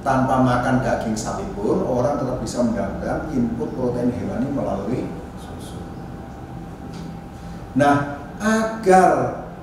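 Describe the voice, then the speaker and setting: Heard from a distance in a very echoey room with clear background noise, a man lectures. He explains ideas informally and deliberately, punctuating his phrases with short check-in tags at an average pitch. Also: animated. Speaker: university anthropology lecturer.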